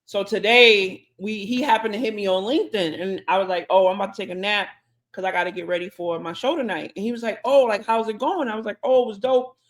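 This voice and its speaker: A woman co-hosting a podcast, telling stories in an animated way and acting out both sides of conversations. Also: medium pitch, fast. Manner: animated, acts out conversations in quotes. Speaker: podcast co-host. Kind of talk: casual storytelling chat.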